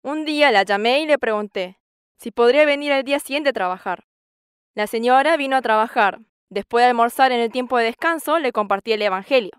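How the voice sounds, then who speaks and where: A woman's voice: on the high side, brisk, clean tone, no room sound. A woman in her sixties, a farmer, giving an earnest church testimony on a studio stage, reciting scripture and acting out conversations. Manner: earnest, quotes scripture at length and acts out dialogue with a raised voice. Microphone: lapel mic.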